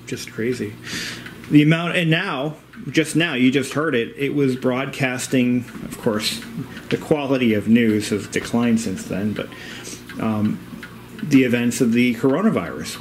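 Casual man rambling over background noise. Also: medium pitch. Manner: rambling, halting, plain-spoken with mild swearing. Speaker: vintage radio restorer, vlogger. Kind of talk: casual rambling narration of a repair. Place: indoors in a home. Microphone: handheld camera, operator behind it. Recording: clear background room noise.

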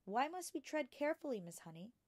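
Curious, precocious little girl acted by a woman, storybook read-aloud, eager and excitable, in a dry space. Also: sits high, a little fast, dry recording, pitched above the performer's own voice. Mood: impatient, curious. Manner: excited, questioning, childlike eagerness. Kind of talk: children's storybook character reading.

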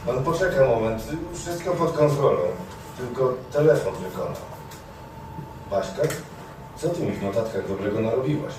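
A man's voice: mid-range voice, moderate pace, natural voice. A man playing a flustered, stammering, bumbling henpecked talk-show announcer in a live comedy stage sketch. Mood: flustered, placating. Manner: stammering, apologetic, fumbling. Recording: echoey noisy hall, distant mic.

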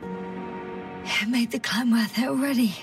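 A woman playing a determined space scientist stranded on a planet, measured and breathy in a dramatic game performance. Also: a little high, steady tone, far from the mic, music in the background.

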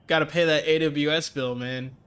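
A man's voice: on the high side, steady tone, normal speed, a bit bright, clear, resonant tone. A young man with a stuffed-up nose chatting casually with viewers while he streams a racing game from home, reading out chat and joking along. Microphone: desk mic, webcam framing.

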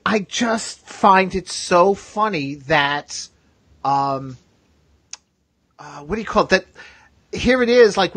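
A man's voice: high-pitched, fairly bright tone, husky, dry recording. A man hosting a podcast in casual live chat, talking chattily and often repeating himself. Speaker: podcast host.

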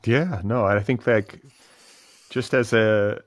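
A man's voice: lowish voice, fairly even intonation, slow pace, no echo, studio quality. A man hosting a podcast, asking long casual questions filled with "like" and "sort of". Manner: casual, rambling questions full of "like". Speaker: podcast host. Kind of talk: podcast interview.

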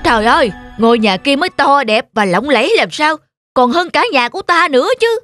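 A man's voice: extremely high pitch, lively intonation, brisk, pushed and bright, heavily acted. A man playing a greedy, bullying middle-aged village master in a cartoon folk tale, with a scolding, scheming manner. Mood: envious, scheming. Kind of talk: animated folk tale acting. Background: music.

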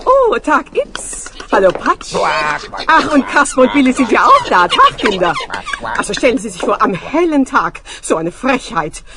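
A woman playing an excitable, indignant factory director in a children's radio play, effusive and exclaiming. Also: sits high, moderate pace, heavy background noise. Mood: indignant, relieved.

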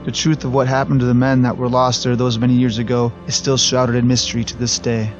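Measured male documentary narrator. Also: medium pitch. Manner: measured, even storytelling delivery. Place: voice-over recorded apart from the footage. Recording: slightly dull recording with faint music.

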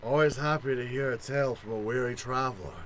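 An actor playing an eccentric professor, speaking in a theatrical, grandiloquent way in an improvised radio play. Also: male voice, medium pitch, fast talker, slight background noise, close to an everyday voice, poor audio. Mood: philosophical, theatrical. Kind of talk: improvised old-time radio play acting.

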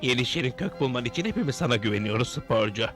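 A man's voice: mid-range voice, lively intonation, fast talker, music in the background, natural voice. An actor voicing a wise, exasperated elderly leader of tiny cartoon creatures, fatherly and emphatic. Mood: earnest. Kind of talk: children's cartoon dubbing.

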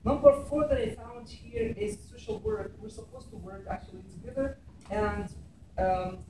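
A man's voice: high voice, big pitch swings, moderate pace, noisy background. A man in his thirties from Egypt with an Egyptian Arabic accent, giving a public talk in a classroom and explaining scripture in English. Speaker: speaker. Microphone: far camera. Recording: echoey room, from far off.

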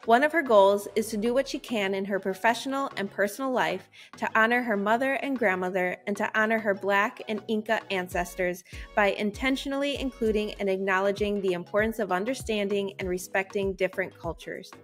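A woman's voice: medium pitch, a little fast, faint music behind. A woman hosting a podcast, reading an intro and then talking with a guest over a video call on a headset, upbeat and grateful. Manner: upbeat, warm thanks to guest. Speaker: podcast host. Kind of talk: scripted intro, then interview. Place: home office.

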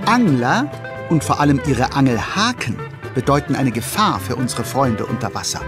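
A man narrating a children's audio drama in an animated storytelling manner. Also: medium pitch, normal speed, natural voice. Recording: music under the voice. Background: music.

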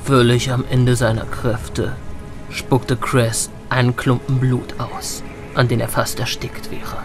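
A man's voice: medium pitch, little pitch movement, slow. A man giving dramatic, scripted narration for an audio drama. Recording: loud music under the voice.

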